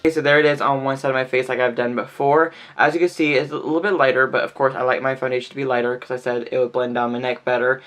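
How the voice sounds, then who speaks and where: Brief: male beauty vlogger, mid-pitched, chatty and casual, slightly stuffy nose. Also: fairly bright tone. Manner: chatty, fills speech with "like". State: stuffy, nasal from sneezing. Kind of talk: casual makeup tutorial talk.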